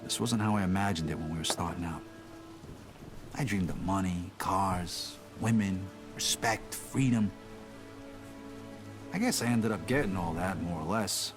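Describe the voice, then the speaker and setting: A man's voice: sits low, unhurried, long pauses, over music. An actor playing a weary young mobster who answers curtly and narrates his story in a game. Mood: reflective. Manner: terse, guarded, reflective in narration. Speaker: protagonist and narrator. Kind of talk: video game cutscene acting.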